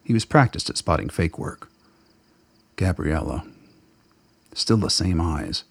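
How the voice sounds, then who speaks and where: A man's voice: low-pitched, lively intonation, unhurried, vocal fry, dry recording. A man giving dramatic scripted narration of fiction in a brooding, literary storytelling manner. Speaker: narrator of a radio play.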